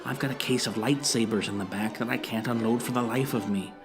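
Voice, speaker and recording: A man's voice: mid-range voice, fairly even intonation, a little fast, music in the background, close to an everyday voice. An actor playing a shifty, wheedling dealer in contraband collectibles in an audio drama, with evasive salesman patter. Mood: pleading.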